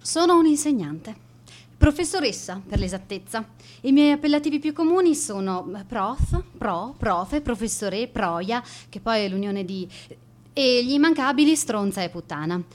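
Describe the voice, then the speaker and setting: Woman acting a bitter, theatrical, high-voiced schoolteacher. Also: animated, moderate pace, a little mellow, lightly acted. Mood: haughty, scornful. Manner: sarcastic, asking and answering herself. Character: bitter, spiteful, strict female schoolteacher, obsessed and vindictive. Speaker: radio drama actor. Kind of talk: theatrical radio monologue.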